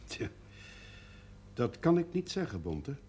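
An actor playing a proud, plain-spoken village brewer and father in a period television drama, with a husky voice. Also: medium pitch, big pitch swings, slow, warm tone, full character voice. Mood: frank, reluctant. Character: firm, proud rural brewer and devoted father. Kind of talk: 1970s Dutch television period drama acting.

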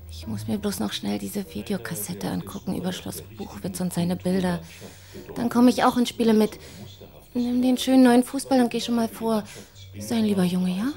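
Woman as creaky-voiced, busy placating mother. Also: mid-range voice, fairly even intonation, moderate pace, dark tone, soft background music, lightly acted. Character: busy, evasive mother sweetly fobbing off her son. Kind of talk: German children's radio drama.

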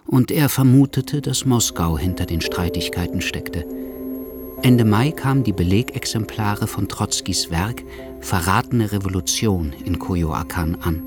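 A man's voice: on the low side, husky. A man reading scripted literary narration for a German radio drama. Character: literary narrator of an exiled revolutionary's life.

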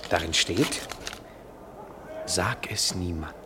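Radio drama part: restless European man, low voice, poetic and wry, slightly noisy vintage recording. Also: slow. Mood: playful, secretive. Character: restless, wry European traveller, aloof yet attracted man. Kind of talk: 1970s German radio play acting.

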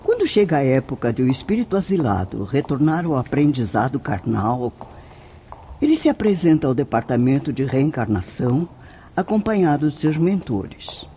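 A woman's voice: very deep voice, very animated, normal speed, mellow, a little hiss or hum. A Brazilian woman playing a venerable nun who guides spirits in a radio drama, speaking in a solemn, declamatory, tenderly instructive way. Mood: welcoming. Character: venerable, devout nun guiding spirits in the afterlife.

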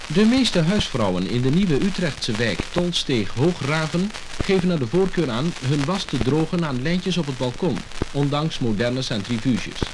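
A man's voice: a little high, big pitch swings, moderate pace, background noise. A man with a Dutch accent reading scripted radio news, on a mid-century disc recording. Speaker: newsreader.

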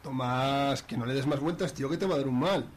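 A man's voice: medium pitch, fairly quick, natural voice. A man playing a blunt, fed-up, foul-mouthed friend in a radio soap, with a Spanish accent. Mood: exasperated.

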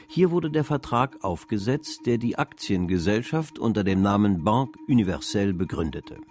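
A man narrating a radio drama in a literary storytelling style. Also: on the low side.

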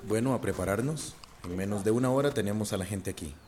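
An actor playing a kindly, generous village shopkeeper in a radio drama. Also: male voice, lowish voice, quick pace, a little mellow, natural voice. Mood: businesslike.

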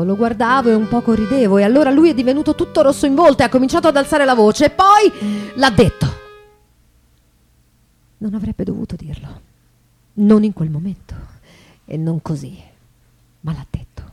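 An actress playing an embittered, elegant, proudly ironic bourgeois wife of the 19th century in a confessional stage monologue that shifts between hushed and declamatory. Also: female voice, a little high, animated, moderate pace, pauses often, lightly acted. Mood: tense, agitated. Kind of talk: theatrical monologue with live music. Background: guitar music.

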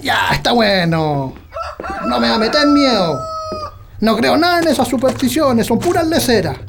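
A man's voice: very high-pitched, sing-song, normal speed, creaky, noisy background, full character voice. An actor playing a stubborn, boastful countryman in a radio drama, with a rustic, dismissive manner and a rural Chilean accent. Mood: defiant. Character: stubborn, boastful countryman, a carousing husband and father.

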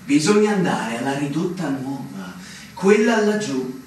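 A man acting a secretive, dreamy fortress soldier in a radio play, halting and mysterious in his delivery. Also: fairly high pitch, very animated, darkish tone. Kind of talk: theatrical radio drama acting. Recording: echoey, distant stage sound with faint noise.

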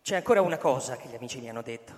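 A man's voice: medium pitch, expressive, racing, lightly acted. An actor playing a troubled, guarded businessman and husband, hesitant and evasive, in a radio drama. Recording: long hall reverb, music behind.

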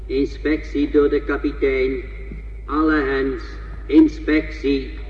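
A formal male ship's announcer, played by a radio actor, very slow and deliberate. His voice rings with long echo in a muffled recording with noise behind it. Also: mid-range voice, flat delivery, lightly acted. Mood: formal, urgent. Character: formal shipboard announcer calling crew to inspection. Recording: echoey hall reverb, muffled, background noise.

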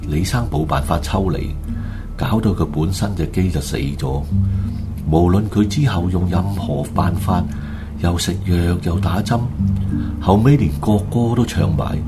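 A man with a Hong Kong Cantonese accent narrating a scripted radio drama story. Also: sits low.

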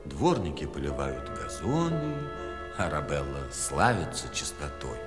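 An actor playing a curious, courteous storyteller and guide through a fairy-tale city in a children's audio play. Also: male voice, rumbling low, sing-song, unhurried, exaggerated voice. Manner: theatrical, inquisitive, courteous. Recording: long reverb, music behind.

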